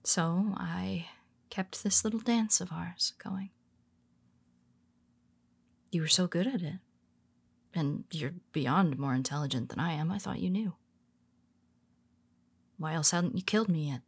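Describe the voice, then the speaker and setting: Woman doing a calm sly superhero lady, low slow voice, bit of fry, half whispering. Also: heavily acted. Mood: wry. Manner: calm, wry and confiding. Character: cool, scheming female superhero confessing to her nemesis. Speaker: voice actor. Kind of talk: audio roleplay monologue.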